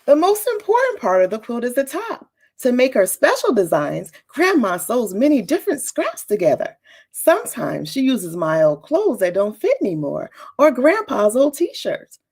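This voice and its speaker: A woman's voice: fairly high pitch, huge pitch swings, moderate pace, a little mellow, slightly rough, voice pushed up, exaggerated voice. A woman voicing a proud, know-it-all young storybook girl who explains things in an emphatic, teacherly manner. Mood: defiant, proud. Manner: emphatic, teacherly, eager to show off.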